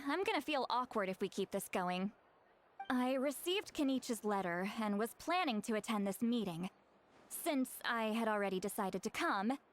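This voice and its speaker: A woman's voice: on the high side, close to an everyday voice. A woman playing a proud, prickly game shaman who is called granny but seems young, haughty and theatrical. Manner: theatrical, haughty, huffy sighs and throat-clearing. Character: youthful-looking granny shaman, prickly, proud, easily embarrassed.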